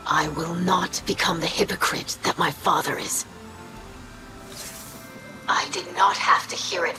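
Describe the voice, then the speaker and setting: A woman's voice: mid-range voice, close to an everyday voice. Woman as an earnest young alien scribe, formal. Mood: pleading, confrontational. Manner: formal, measured, articulate diction. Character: earnest young female alien scribe, dutiful, wounded idealist. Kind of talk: dramatic video game cutscene acting.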